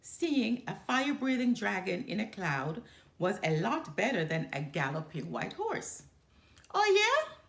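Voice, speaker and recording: A woman storyteller reading a children's picture book aloud in an expressive, deliberate way. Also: mid-range voice, roomy sound. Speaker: storyteller, channel host.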